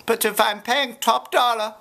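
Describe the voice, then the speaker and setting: A woman's voice: on the high side, fairly even intonation, moderate pace, gravelly, a bit bright. A woman playing a pompous German man who complains in clumsy English with a put-on German accent. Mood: indignant. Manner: indignant, haughty complaining in broken English. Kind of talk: improvised comedy sketch.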